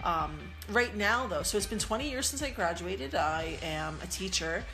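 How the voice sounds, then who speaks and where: Earnest woman in her forties, lower voice. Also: lively intonation, moderate pace, bright, forceful tone, soft background music, low-quality recording. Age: 40s. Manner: earnest, reflective, repeats her own phrases. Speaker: podcast co-host. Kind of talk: casual podcast chat.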